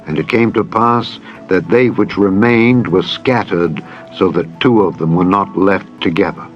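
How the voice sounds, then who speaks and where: A man's voice: sits low, measured pace. A man narrating a dramatized scripture audiobook in a solemn, formal, declamatory way. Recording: dull band-limited audio with music. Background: background music.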